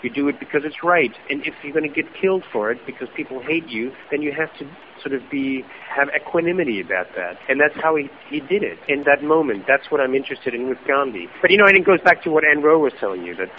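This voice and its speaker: A man's voice: on the high side, lively intonation, fast, few pauses, narrow, tinny audio, a little hiss or hum. A man whose first language is German, an author answering interview questions in a reflective, thinking-aloud way with frequent "you know" asides. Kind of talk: radio interview conversation.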